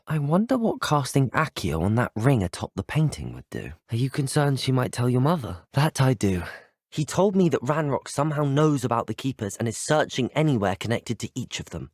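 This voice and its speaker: A young man acting as an earnest, determined student wizard in a video game, speaking in an articulate, formal and measured way. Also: mid-range voice, darkish tone, dry recording, clean studio sound. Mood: thoughtful. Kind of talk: scripted game dialogue.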